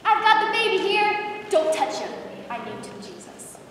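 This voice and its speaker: A woman's voice: very high-pitched, big pitch swings, moderate pace. A female performer in an amateur skit playing a brash, bossy, blunt young girl. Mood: fierce. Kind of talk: amateur readers theater skit. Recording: echoey hall, distant, loud background noise.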